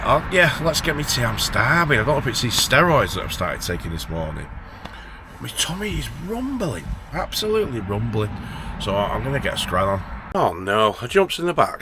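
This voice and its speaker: A man in his late forties with a Northern English accent, rambling informally and thinking aloud as he chats to viewers in an unscripted vlog. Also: on the low side. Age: late 40s. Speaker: van-life vlogger. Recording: noisy, traffic and vehicle ambience. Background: traffic and vehicle noise.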